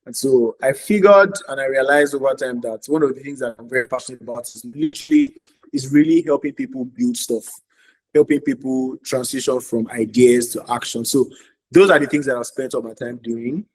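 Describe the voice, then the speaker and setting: A man's voice: medium pitch, fairly quick, darkish tone, rough recording. A man, earnestly telling his story as a podcast guest, repeating phrases for emphasis. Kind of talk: casual podcast interview.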